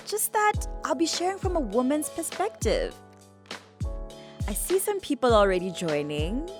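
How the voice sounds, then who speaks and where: An actress playing a confident young bride-to-be in a radio drama, speaking articulately with a Botswana accent. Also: female voice, medium pitch, lightly acted. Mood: bubbly, welcoming. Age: young adult. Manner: articulate, explanatory, code-switching. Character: confident young bride-to-be businesswoman, reasoning earnestly.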